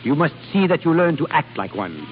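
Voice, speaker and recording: A man's voice: fairly high pitch, big pitch swings, fairly quick, soft background music. A man acting a middle-aged, penniless duke, formal, clipped and businesslike, in an old radio drama. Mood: brisk, instructive. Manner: clipped, formal, stern, urbane. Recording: muffled, noisy vintage radio recording.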